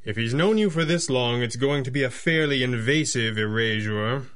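Guy doing shrewd masked vigilante for old time radio, formal n theatrical, sharp bright voice. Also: medium pitch, lively intonation, moderate pace, lightly acted. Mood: brisk, measured. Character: masked 1930s male vigilante hero, commanding and shrewd. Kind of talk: 1930s radio drama acting.

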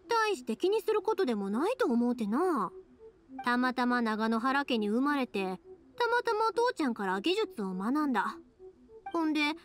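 Sweet upbeat kansai girl in her youth, high voice, friendly n earnest, anime game with music behind. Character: cheerful, sincere young woman, a fireworks maker. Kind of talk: Japanese anime-style game voice acting.

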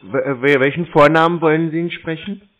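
A man's voice: a little high, fairly even intonation, brisk, a bit bright. A man chatting casually on a call, playing clueless as he handles a telemarketer. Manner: feigned cluelessness. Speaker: scambaiter taking scam calls. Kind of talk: casual phone chat. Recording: narrow phone-call audio.

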